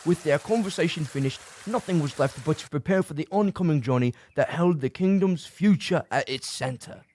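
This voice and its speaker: A man's voice: a little high, normal speed, darkish tone, no room sound. A man narrating a scripted fantasy audio drama as a storyteller. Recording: prominent music bed behind.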